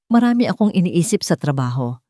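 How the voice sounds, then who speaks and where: A stern, wealthy head of household in an audio drama, put on by a woman. He sounds irritated and dismissive, pitched fairly low and recorded dry. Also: very animated, fairly quick, dark tone, no echo. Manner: curt, dismissive.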